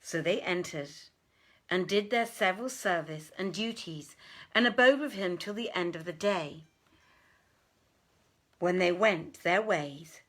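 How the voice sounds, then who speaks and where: A woman reading a literary tale aloud as narrator, with formal, theatrical delivery and deliberate phrasing. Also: fairly low pitch, a bit bright. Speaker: narrator, reader. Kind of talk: dramatic literary storytelling.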